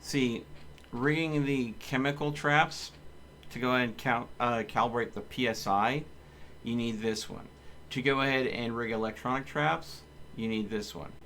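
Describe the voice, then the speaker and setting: A man's voice: medium pitch, fairly even intonation, normal speed, a bit bright, slight background noise. A man giving casual, blunt and grumbling live commentary while streaming a game. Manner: blunt, grumbling, mildly cursing.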